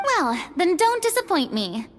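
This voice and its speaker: High pitched girl, proud dramatic ex-goddess type from a video game, very stagey. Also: sing-song, a little slow, a little hiss or hum, full character voice. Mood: brisk, self-assured. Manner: dramatic, pompous, theatrical delivery. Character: theatrical, proud young woman, former deity turned performer.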